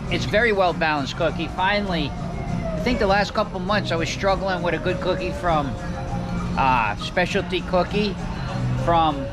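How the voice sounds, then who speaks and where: A man's voice: high-pitched, moderate pace, over loud music. A man in his forties hosting a food review vlog, chatty and upbeat, talking to viewers into a handheld camera on an outdoor cafe patio. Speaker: vlog host. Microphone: handheld camera at arm's length.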